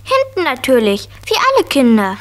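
A woman's voice: high-pitched, wildly swooping pitch, moderate pace. A woman playing a curious, eager preschool girl in a children's radio play, speaking in a naive, candid way.

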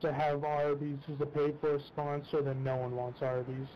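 A man's voice: fairly high pitch, completely flat delivery, normal speed. A man on a race stream speaking bluntly and with strong opinions. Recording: dull lo-fi audio, faint noise.

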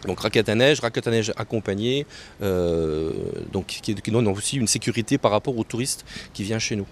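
Older guy, kinda low voice, official being interviewed outside, stumbles and repeats words, bit of street noise. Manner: hesitant, halting phrasing with repeated words. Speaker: local mayor and tourism official. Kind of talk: spontaneous interview answers. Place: outdoors on a terrace above a town street. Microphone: handheld interview microphone held close. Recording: clear outdoor street noise.

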